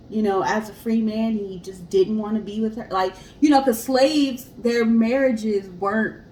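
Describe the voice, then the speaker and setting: A woman's voice: mid-range voice, a little mellow. Woman podcast host in an echoey room. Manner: explanatory, addresses listeners directly. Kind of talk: casual discussion of a history story. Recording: roomy echo, clear background hiss.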